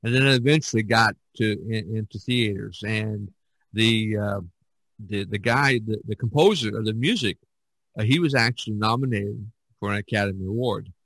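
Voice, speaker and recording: A man hosting a casual podcast conversation, talking in a halting way with many fillers and restarts. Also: lowish voice, a bit bright, no room sound, rough recording. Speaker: podcast host.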